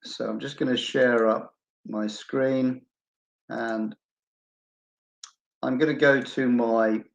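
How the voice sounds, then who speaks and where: A man's voice: mid-range voice, fairly even intonation, slow, creaky. A middle-aged British man with a British accent, an English tutor talking informally to camera, rambling with many ums and restarts. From United Kingdom. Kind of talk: informal promotional talk to camera. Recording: echoey room, dull lo-fi sound.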